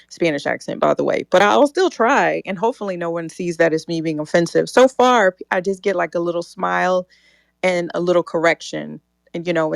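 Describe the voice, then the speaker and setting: A woman's voice: fairly low pitch, vocal fry, dry recording. A young adult woman co-hosting a podcast, telling stories in a casual chat and mimicking other people's voices as she goes. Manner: animated storyteller, mimics voices. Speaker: podcast co-host.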